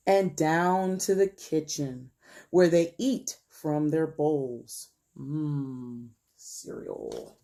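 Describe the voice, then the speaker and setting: A woman teacher reading a picture book aloud to young children in an expressive, gentle storytelling manner and putting questions to her pupils. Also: low voice, slow.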